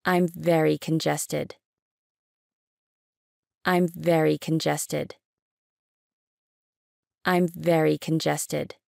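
A woman with a gravelly, slightly low voice reads short English phrases for learners. She speaks very slowly and says each phrase three times. The sound is close and dry. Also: flat delivery, darkish tone, dry recording. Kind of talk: slow repeated phrase reading.

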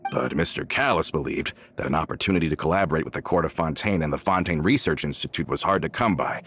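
An actor playing an earnest, loyal member of a gang in a video game, speaking in a questioning, sincere manner. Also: male voice, low-pitched, a bit bright, a little hiss or hum. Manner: earnest, questioning, hesitant when shocked. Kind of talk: video game character dialogue.

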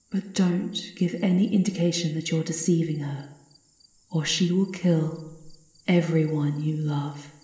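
A woman's voice: sits low, mellow, husky. A woman playing a sly, knowing castle witch in an ASMR roleplay, with a teasing, confiding and theatrical manner. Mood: hushed, warning.

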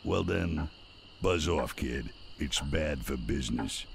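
An actor playing a shady, gruff street peddler in a video game, with a terse, sly sales manner. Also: male voice, extremely low pitch, lively intonation, slow pace, a little hiss or hum, heavily acted. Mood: dismissive.

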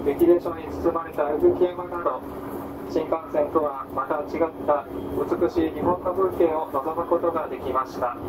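Distant, noisy train conductor announcement, man. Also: fairly high pitch. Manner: polite, formal. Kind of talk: formal onboard train announcement. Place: inside a moving train carriage, over speakers. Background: loud train and ventilation noise.

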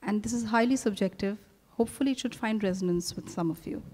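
A middle-aged woman with an Indian accent, conducting a formal on-stage interview in an articulate, measured way. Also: mid-range voice, a little mellow, a little hiss or hum. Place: hotel ballroom stage. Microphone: handheld microphone. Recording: echoey ballroom with long reverb.

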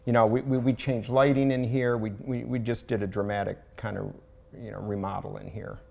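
A middle-aged man with a Southern US accent explains a remodel step by step, pausing on fillers. His voice is low and very gravelly with a lot of fry. He speaks in a large home kitchen. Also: little pitch movement, a little mellow. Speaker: building contractor, guest. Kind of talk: casual on-camera interview.

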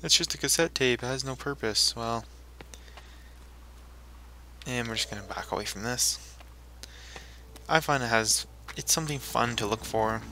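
A man's voice: medium pitch, moderate pace, long pauses, sharp tone, a little hiss or hum. A man hosting a gaming video, rambling casually and talking to himself as he plays. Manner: rambling, talks to himself while playing. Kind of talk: casual let's play commentary.

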